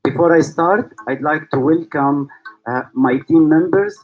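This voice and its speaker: A man's voice: fairly high pitch, expressive, unhurried, highs cut off. A man from Syria, founder and host of a yoga school, speaking to online viewers and asking repeated rhetorical questions. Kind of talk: reflective talk to online viewers.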